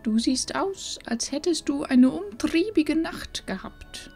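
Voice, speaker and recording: A woman acts out an old village grandmother in a fantasy audio drama, calm and knowing. Her voice sits fairly high and moves with lively melody, taking its time, with faint music underneath. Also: exaggerated voice. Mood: calm, probing. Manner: measured, knowing.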